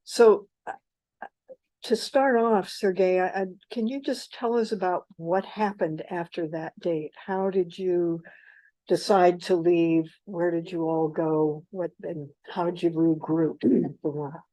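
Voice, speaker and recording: A woman moderating a live panel discussion, speaking informally in halting phrases with asides. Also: on the low side, steady tone, mellow, dry recording, low-quality recording. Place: live panel event at a university.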